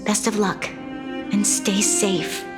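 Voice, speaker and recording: A woman's voice: medium pitch, fairly even intonation. Earnest young female leader, reverberant. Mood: grateful, apologetic. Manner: formal, measured, earnest. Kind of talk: video game cutscene voice acting. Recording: long hall reverb, background music.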